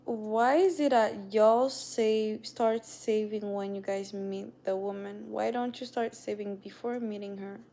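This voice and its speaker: A woman's voice: medium pitch, fairly even intonation, unhurried, few pauses, dark tone. A young woman with a non-native accent hosting a casual livestream, chatting with viewers and greeting them by name. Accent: non-native English accent. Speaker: livestream host. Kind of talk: casual live-stream chat with viewers.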